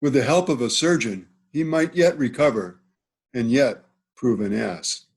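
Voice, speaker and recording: A man's voice: medium pitch, animated, measured pace, breathy edge. A man playing a witty, mocking nobleman in an amateur Shakespeare table read, with dry teasing delivery over a video call. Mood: dry mockery. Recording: home video call recording.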